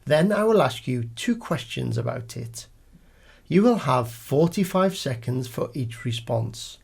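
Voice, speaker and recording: A man's voice: medium pitch, animated, unhurried, creaky, darkish tone, slightly rough. A man reading formal, scripted speaking-test instructions and questions as an examiner.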